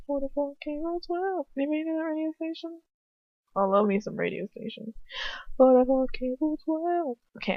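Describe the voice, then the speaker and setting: A woman's voice: fairly high pitch, gravelly, no echo, muffled recording. A woman reading fan fiction aloud as an amateur, often wandering off script into casual, self-mocking, goofy asides.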